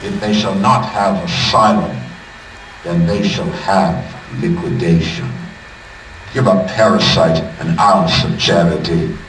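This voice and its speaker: An actor playing an imperious, menacing middle-aged tycoon in a video game, speaking in a measured, pompous, coldly formal way. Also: male voice, deep voice, fairly even intonation, a bit bright. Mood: cold disdain. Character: imperious, menacing male tycoon who rules a city. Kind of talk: dramatic video game villain speech. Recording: distant, echoing voice under music. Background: music.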